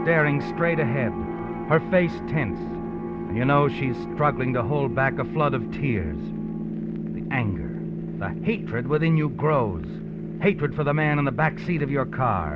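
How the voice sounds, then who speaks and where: An actor playing a mysterious narrator who speaks straight to the hero in a 1950s radio drama, knowing and dramatic. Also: male voice, medium pitch, close to an everyday voice. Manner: second-person, knowing, dramatic. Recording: muffled 1950s radio with music under it.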